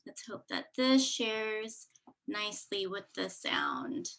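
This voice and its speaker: A woman's voice: mid-range voice, wildly swooping pitch, takes it slowly, fairly bright tone, slight background noise. A woman hosting a festival event, introducing clips in a friendly way with many fillers. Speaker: festival host.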